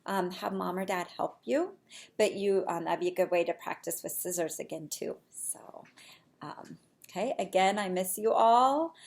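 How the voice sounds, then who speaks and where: Slow-paced woman teaching preschoolers. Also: mid-range voice. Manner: encouraging, simple step-by-step explaining with questions to children. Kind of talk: read-aloud and shape activity lesson for young children.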